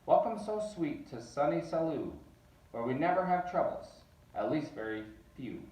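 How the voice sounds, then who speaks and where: A man's voice: on the high side, big pitch swings, moderate pace, a little mellow, voice pushed up. A man voicing a friendly but fretful doorman in a rhyming children's storybook. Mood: welcoming, confiding. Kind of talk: children's storybook read-aloud. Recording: roomy, distant, dull sound.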